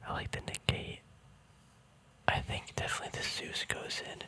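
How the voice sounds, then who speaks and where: A man speaking in a breathy, unvoiced whisper, rambling casually in ASMR style as if thinking aloud. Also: normal speed, pushed and bright. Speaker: ASMR video creator. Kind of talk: ASMR, casual rambling commentary on a card deck.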